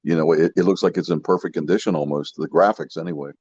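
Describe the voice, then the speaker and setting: A man with a low, rough-edged voice chats as a podcast co-host. His manner is folksy and enthusiastic. The audio is dull and muffled. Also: steady tone, fast talker, a little mellow, dry recording. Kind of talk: casual chat about vintage toys.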